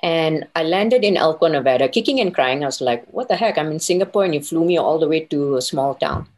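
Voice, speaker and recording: Female interview guest, middle-aged, low register, conversational storyteller who quotes her own inner thoughts. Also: animated, a little fast, a little mellow. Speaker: interview guest, consultant and business owner.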